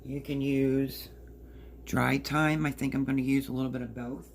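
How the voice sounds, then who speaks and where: A woman with a hoarse voice casually explaining a recipe step by step in her home kitchen. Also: extremely low pitch, flat delivery, normal speed, a little hiss or hum. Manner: chatty, explains step by step while working. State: hoarse, partly lost voice. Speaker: home cook. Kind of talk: casual cooking demonstration.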